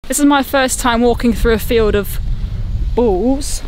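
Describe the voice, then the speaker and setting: A woman's voice: a little high, a little slow, darkish tone, faint music behind. An enthusiastic, chatty woman, talking casually as she vlogs on a walk outdoors. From Nottingham, England. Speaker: travel vlogger. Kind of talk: casual walking vlog to camera. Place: outdoors in open countryside.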